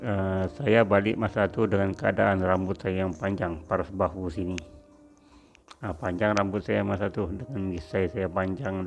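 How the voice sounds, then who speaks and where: A middle-aged Sabahan man recalls his working years in a deep, low voice. His tone is gravelly with noticeable fry, and he talks in an unhurried way, full of small fillers. Faint music plays underneath. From Sabah, Malaysia. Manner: reflective, unhurried, with frequent fillers. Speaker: former factory worker telling his story. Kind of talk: personal storytelling.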